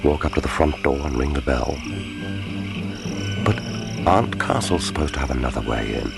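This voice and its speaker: British secret agent, really deep, wry and dry, radio drama with big music behind. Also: male voice, lively intonation, moderate pace, vocal fry, exaggerated voice. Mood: tense, wry. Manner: dry, understated, musing aloud. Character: British secret agent, resolute, wry, tender with his island companion. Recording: dramatic music bed under voice. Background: loud orchestral film score.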